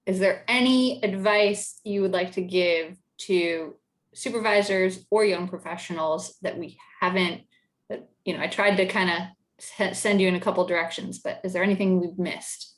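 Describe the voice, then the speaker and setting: Relaxed woman moderating a video panel. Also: fairly low pitch, normal speed. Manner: relaxed, many fillers and restarts. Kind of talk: casual virtual panel chat. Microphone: video call.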